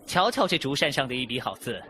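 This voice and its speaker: Sharp, snide courtier. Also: male voice, mid-range voice, very animated, fast. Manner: sarcastic, fawning. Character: snide male courtier, sneering with false deference. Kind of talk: Chinese costume audio drama acting.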